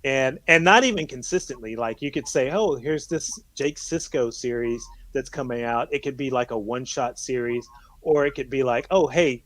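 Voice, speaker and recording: A man's voice: mid-range voice, normal speed, fairly bright tone, no echo. A man thinking aloud in casual chat as a podcast panellist.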